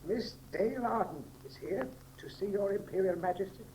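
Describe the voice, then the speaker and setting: A very noisy 1930s radio recording of a palace attendant. His voice is quite high, his delivery clipped, ceremonious and deferential. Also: expressive, normal speed, a little mellow. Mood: formal, deferential. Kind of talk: 1930s radio serial acting.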